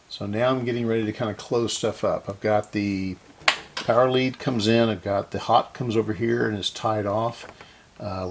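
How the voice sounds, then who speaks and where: Male DIY repair host, low and husky, casual, halting think-aloud delivery with folksy self-deprecation. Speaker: host of a radio repair video series. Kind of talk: casual hands-on repair walkthrough.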